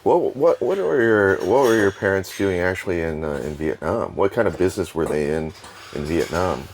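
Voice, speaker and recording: A man's voice: sits low, expressive, moderate pace, slight background noise. A man hosting a podcast, asking casual questions and giving short backchannel replies. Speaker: podcast host. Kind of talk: casual interview conversation.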